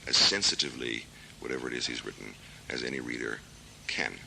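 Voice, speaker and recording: An American man in his thirties, a literary translator, answering interview questions in a thoughtful, measured way with many hesitations. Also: a bass voice, creaky, sharp tone, a little hiss or hum, low-quality recording. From United States. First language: English. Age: 30s.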